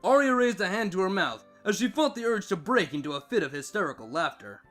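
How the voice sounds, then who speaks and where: A man narrating a scripted story with dramatic, expressive delivery. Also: high voice, brisk. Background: background music.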